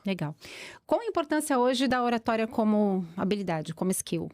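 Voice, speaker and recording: A woman's voice: mid-range voice, sing-song, moderate pace, a little mellow, no room sound, clean studio sound. A woman with a Brazilian accent hosting a podcast in a chatty, casual interview style with personal asides. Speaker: podcast host.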